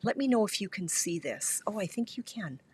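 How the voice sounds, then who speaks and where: A woman's voice: medium pitch, lively intonation, a little fast, a little mellow, slightly rough. Stuffy-voiced woman chatting on a craft stream. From Canada. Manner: chatty, greets viewers by name, many fillers. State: stuffy and slightly scratchy from a cold. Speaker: craft demonstrator hosting a live stream. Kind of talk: casual live-stream tutorial chat.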